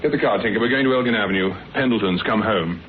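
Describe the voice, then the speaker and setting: A man acting a shrewd, courteous British private detective in a radio drama, asking brisk, probing, measured questions. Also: on the low side, music in the background, lightly acted. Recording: muffled narrowband old radio recording, faint noise.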